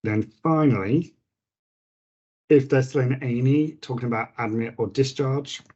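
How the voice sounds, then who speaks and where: Husky-voiced man teaching nurses. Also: mid-range voice, normal speed, darkish tone, highs cut off. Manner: informal, with frequent fillers and restarts. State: slightly croaky voice. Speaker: nurse teaching a clinical session. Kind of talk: clinical teaching talk.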